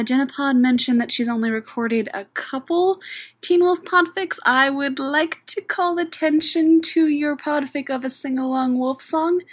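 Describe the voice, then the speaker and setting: A woman listener speaking a recorded comment addressed to a podcast. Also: high-pitched. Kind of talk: spoken message to a podcast.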